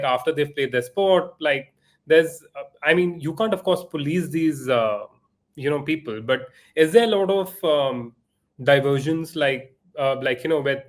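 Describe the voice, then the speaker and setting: A man in his thirties hosting a podcast, asking casual, rambling questions full of fillers and self-deprecating asides. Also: a little high. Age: 30s. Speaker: podcast host.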